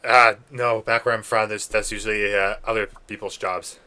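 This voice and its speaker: A man's voice: on the low side, flat delivery, moderate pace, sharp tone, clear, resonant tone. A man speaking in character in a tabletop game, in an offhand, hesitant way. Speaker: player.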